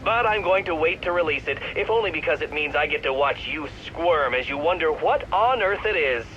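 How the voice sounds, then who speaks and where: An actor playing a smug, intellectual game villain, taunting over a radio in a condescending, theatrical, precise way. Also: male voice, a little high, animated, a little fast. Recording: dull radio sound with loud noise.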